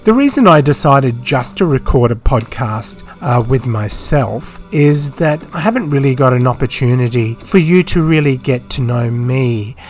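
A man hosting a solo podcast, chatting off the cuff with a croaky, hoarse voice, rambling with many fillers and self-deprecating asides. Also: medium pitch, animated, normal speed, few pauses, faint music behind. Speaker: podcast host. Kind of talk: unscripted solo podcast chat. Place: home, isolating. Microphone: phone.

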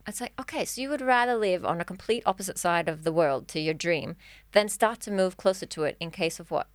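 A woman's voice: fairly low pitch, lively intonation, fairly quick, no echo, clean studio sound. A woman life coach speaking as a podcast guest in an earnest, encouraging way, often restarting her phrases. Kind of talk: casual podcast chat.

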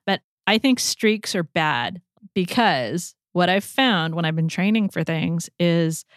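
A young adult woman co-hosting a casual podcast, chatty and quick to laugh, often trailing off mid-sentence. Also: on the low side, animated, no echo, clean studio sound. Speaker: podcast co-host.

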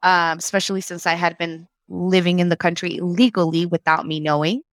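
A woman's voice: fairly low pitch, steady tone, fairly quick, no room sound, studio quality. A woman, reflectively telling her story as an interview guest and slipping Spanish phrases into her English. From Mexico.

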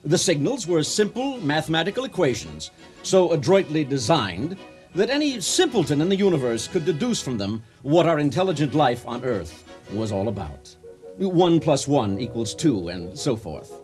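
An actor playing a pompous, folksy orator giving a comic ceremonial speech in a radio drama. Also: male voice, on the high side, big pitch swings, moderate pace, darkish tone, soft background music. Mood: folksy explaining. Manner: grandiloquent, theatrical delivery.

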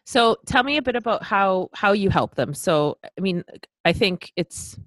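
A woman hosting a podcast, chatty and excitable, casually swearing as she talks. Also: mid-range voice, normal speed, no room sound. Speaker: podcast host. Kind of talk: casual interview chat.

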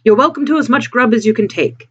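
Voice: mid-range voice, fast, husky, lo-fi sound, pitched above the performer's own voice, close to an everyday voice. A narrator voicing a hearty, blunt but kindly sailor man who talks in plain colloquial speech. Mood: kindly, concerned.

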